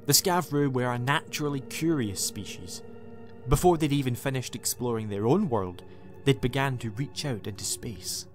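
A man reading a scripted science fiction story as an audiobook narrator. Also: medium pitch. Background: music.

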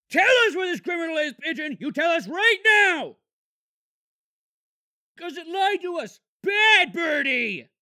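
A man voicing an excitable, anxious young male panda who talks in a high-strung, whiny way with panicky outbursts. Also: natural voice.

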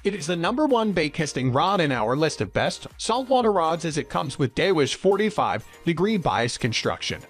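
A man narrates a scripted product review in a fairly high voice. His intonation is very lively, rising and falling widely from phrase to phrase. Faint music plays behind him.

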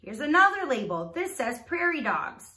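Animated high-voiced woman teaching young children. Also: moderate pace, roomy sound. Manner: animated, enunciated, prompting listeners with questions. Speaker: teacher reading a children's book aloud. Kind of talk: read-aloud lesson for young children.

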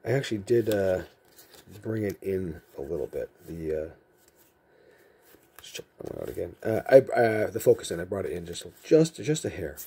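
A man with a very dark, mellow and husky voice, from Massachusetts, with a softened Massachusetts accent. He talks casually and rambles from one joking aside to the next as he sorts cards in a room lined with shelves. The camera mic picks him up while he stays out of frame. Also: low-pitched, very animated, normal speed. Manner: rambling, chatty, jokey asides. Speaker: card collecting channel host. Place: indoor room with card shelves.

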